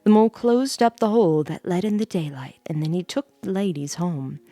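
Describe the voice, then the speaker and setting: A woman's voice: on the low side, lively intonation, fairly quick, mellow, dry recording. A woman streamer reading a bedtime story aloud, dropping in chatty asides of her own.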